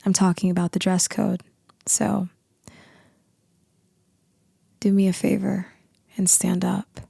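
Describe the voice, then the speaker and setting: A woman playing a dominant, seductive boss in an intimate ASMR roleplay, with a deep, husky, breathy voice that often drops into whispers. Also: level tone, dark tone, no room sound, clean studio sound. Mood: commanding, flirtatious. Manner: slow, deliberate, often dropping into whispers.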